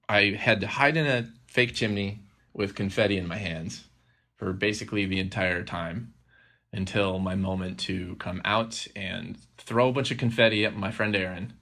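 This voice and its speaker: A man with a lowish voice hosts a podcast. He talks casually and rambles, often halting and correcting himself as he goes. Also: pushed and bright. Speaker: podcast host.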